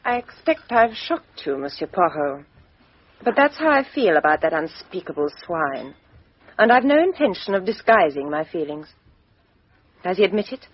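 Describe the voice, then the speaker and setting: An actress playing a sharp, cool, well-bred young Englishwoman with an upper-middle-class English accent, clipped and sardonic, in a radio mystery drama. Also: lowish voice, sing-song, moderate pace, highs cut off, slight background noise. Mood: bitter, defiant.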